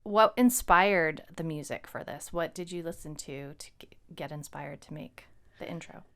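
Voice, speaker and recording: A woman's voice: low-pitched, normal speed, a bit bright. A woman co-hosting a podcast in a casual, conversational style. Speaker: podcast co-host and fiction writer.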